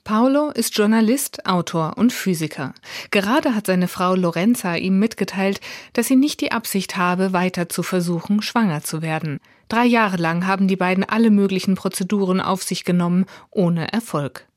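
A female radio critic reads a prepared review in a medium-pitched voice. The sound is very dry, with no audible room. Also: normal speed, dry recording. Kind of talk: scripted review narration.

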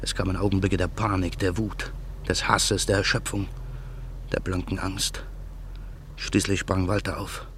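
A man narrating a past memory in a radio drama, grave and measured. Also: low-pitched, flat delivery, fairly bright tone, slight background noise.

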